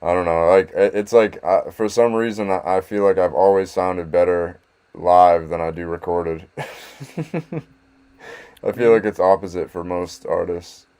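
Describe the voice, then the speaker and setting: A man in his thirties, a guest rapper, chatting casually on a podcast in a halting, self-deprecating way, laughing often. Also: low voice, darkish tone, smooth.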